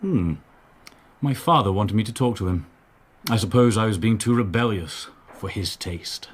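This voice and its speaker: An actor playing a privileged brewery heir and reformed playboy in a radio drama, urbane and politely condescending. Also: male voice, fairly low pitch, expressive, moderate pace, slightly rough. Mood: urbane, reflective.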